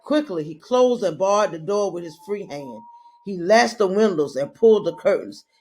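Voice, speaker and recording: A woman reading a children's story aloud in a dramatic, deliberate way, drawing out her phrases. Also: medium pitch. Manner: deliberate, expressive, drawn-out phrasing.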